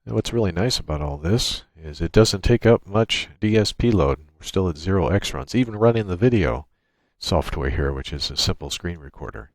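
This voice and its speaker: A man with a deep voice presents an informal software walkthrough. He explains each step as he goes, speaking into a USB microphone. The sound is dry, with little room in it. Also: lively intonation, normal speed, dry recording. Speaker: tutorial presenter. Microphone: USB microphone through a noise gate.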